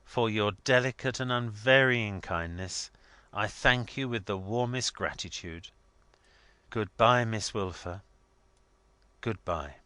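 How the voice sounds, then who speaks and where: A man playing a dignified young secretary in a story reading, speaking formally with measured restraint. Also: on the low side, bright, forceful tone, clean tone, no echo. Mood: quiet, courteous.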